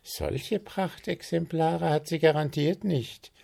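A man voicing a cheerful male gnome friend who teases gently, in a storybook reading. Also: a little high, slow, gravelly, pitched above the performer's own voice, exaggerated voice. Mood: teasing.

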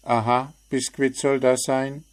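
A man's voice: medium pitch, steady tone, a little slow, pitched above the performer's own voice, natural voice. A man voicing a cheeky little bird who teases with sarcastic remarks, in a storybook reading. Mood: teasing. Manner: teasing, sarcastic.

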